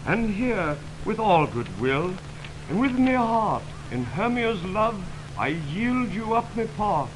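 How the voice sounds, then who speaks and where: A man's voice: sits high, sing-song, slow, exaggerated voice. A man playing an ardent, impetuous young lover in theatrical, declamatory 1920s radio Shakespeare style. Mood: earnest. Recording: noisy 1929 radio broadcast on optical film.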